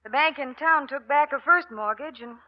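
A woman's voice: high voice, moderate pace, close to an everyday voice. An actress playing an earnest, worried young woman rancher in a 1940s radio drama. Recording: dull lo-fi old radio recording, faint hiss.

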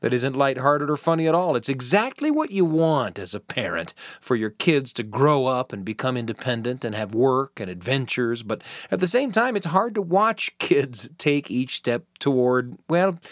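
A man's voice: medium pitch, a bit bright, dry recording. Man hosting, dry close sound. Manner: wry, conversational storyteller. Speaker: podcast host. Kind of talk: casual scripted narration.

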